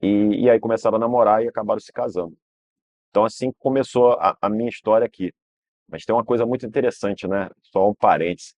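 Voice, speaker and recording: A man's voice: lowish voice, fast talker, a little mellow, dry recording, dull audio. A Brazilian man, a guest in an interview telling long family stories, rambling and restarting with frequent tag questions. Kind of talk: relaxed storytelling interview.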